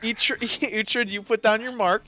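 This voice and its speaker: A man's voice: high voice, steady tone, measured pace, bright, forceful tone, soft background music, low-quality recording. A man acting as game master, casually narrating and improvising a tabletop roleplaying game.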